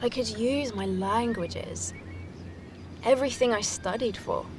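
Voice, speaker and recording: A woman's voice: a little high, measured pace, noisy background, close to an everyday voice. An actress playing a restless young woman graduate, wry and challenging, in naturalistic audio drama. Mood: restless, earnest. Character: restless young female graduate back home, wry and questioning. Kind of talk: naturalistic audio play dialogue.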